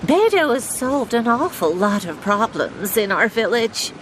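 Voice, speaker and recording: A woman's voice: fairly high pitch, big pitch swings, a little slow, slight background noise. A woman voicing a friendly village woman in a game, appreciative and at ease. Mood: content. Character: contented female villager, friendly.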